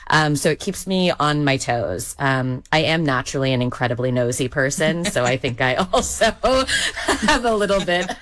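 A woman with a notably low voice talks animatedly about directing storytellers. Her speech is chatty and full of ums, and the recording is dry and close. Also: expressive, normal speed, a bit bright, no echo. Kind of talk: conversational interview answer.

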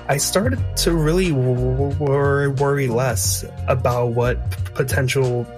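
A man's voice: medium pitch, steady tone, unhurried, a little mellow. A man in his twenties with a stutter, repeating sounds as he gives a reflective personal account. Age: 20s. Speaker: featured contributor, speech language pathologist. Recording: music bed under the voice.

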